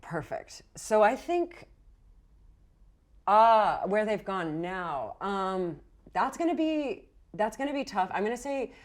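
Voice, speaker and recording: A woman's voice: medium pitch, expressive, slow. A woman running a tabletop game, improvising narration and thinking aloud in an encouraging way. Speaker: game master.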